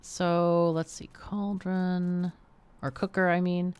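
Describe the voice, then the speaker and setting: A woman giving casual live game commentary, chatty and expressive, often muttering to herself as she thinks aloud. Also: fairly low pitch, takes it slowly, smooth. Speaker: game streamer, host.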